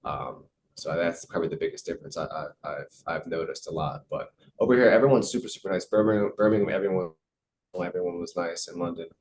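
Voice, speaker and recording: Deep-voiced young man, quick rambling speech. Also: slight background noise. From United States. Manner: relaxed, repeats words, many fillers. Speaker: professional soccer player. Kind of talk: casual interview answers.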